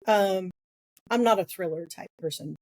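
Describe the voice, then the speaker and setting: A woman's voice: mid-range voice, measured pace, a little mellow, no echo. Woman, sniffly, podcast co-host, dry close sound, easygoing, hesitant. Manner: easygoing, laughs lightly, hesitant with ums. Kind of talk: casual book discussion chat.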